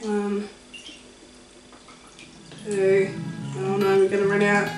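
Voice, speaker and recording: A woman's voice: mid-range voice, monotone, slow, roomy sound, far from the mic, soft background music. A woman with a New Zealand accent chatting casually to viewers as she cooks at home, with self-mocking asides. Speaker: vlogger. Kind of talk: casual cooking vlog. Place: home kitchen.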